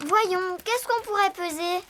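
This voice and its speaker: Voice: very high-pitched, unhurried, noisy background. A performer voicing a spirited, encouraging little girl elephant who is an eager friend, in a French children's cartoon. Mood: excited. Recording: loud children's music underneath.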